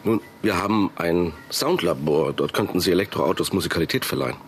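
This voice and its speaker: An actor playing a guarded, authoritative tech company boss in a radio drama, curt and businesslike. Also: male voice, low voice, expressive, brisk, slight background noise.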